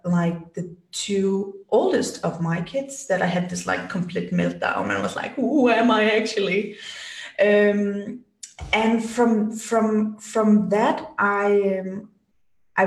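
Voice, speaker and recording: A woman's voice: mid-range voice, darkish tone. A woman from Denmark in her thirties, a podcast guest speaking casually with many fillers and restarts. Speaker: podcast guest, business strategist. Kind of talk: casual interview talk. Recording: echoey room, voice a bit far from mic.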